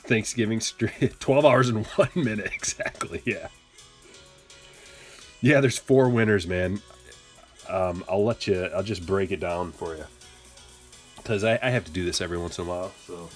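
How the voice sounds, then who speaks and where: Low-voiced joking man on a livestream. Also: normal speed, pauses often, soft background music. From Michigan. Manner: playful, joking, rambling banter with chat. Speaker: card-break streamer. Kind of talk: casual livestream chat while opening cards.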